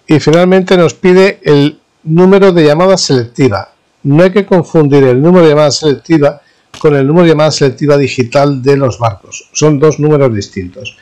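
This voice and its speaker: A man with an accent from Spain gives an informal technical tutorial as an instructor, explaining haltingly and checking in with his listeners. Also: a little high, darkish tone. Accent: Spanish (Spain). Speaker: university professor, instructor.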